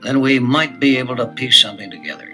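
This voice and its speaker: An actor playing a concerned, authoritative middle-aged detective father in a 1970s audio adventure, speaking in a measured, analytical way. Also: mid-range voice, lively intonation, sharp tone, soft background music. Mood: probing.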